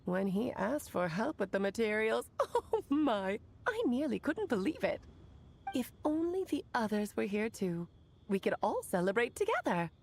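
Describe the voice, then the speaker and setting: A woman's voice: on the high side, sing-song, moderate pace, creaky, a little mellow, heavily acted. A woman playing an elegant, playful, scheming witch in a video game, speaking in a theatrical, refined, teasing manner. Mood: amused, pleased. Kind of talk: video game character acting.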